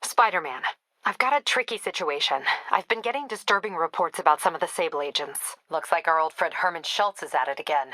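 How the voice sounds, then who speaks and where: A woman's voice: lowish voice, expressive, brisk, pushed and bright, no room sound, poor audio. An American woman playing a tough, driven police captain in a video game, speaking in a clipped, authoritative, dry manner. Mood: businesslike, focused.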